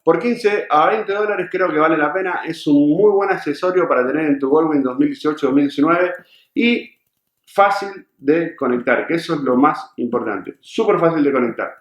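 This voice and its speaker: A man's voice: a little high, normal speed, echoey room. A man hosting a casual how-to vlog, explaining things in simple terms and repeating words for emphasis. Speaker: YouTube host of a motorcycle channel.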